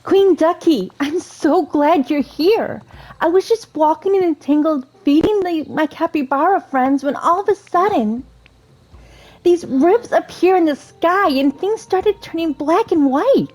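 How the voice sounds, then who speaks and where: A woman's voice: very high-pitched, measured pace, a little mellow, pitched above the performer's own voice, poor audio. A woman voicing an eager, sweet, plucky young fairy-tale princess from a game, in an earnest singsong. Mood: worried, breathless.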